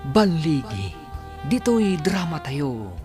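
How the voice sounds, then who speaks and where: Ilocano radio drama narrator over music. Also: male voice, on the high side, very animated, slow.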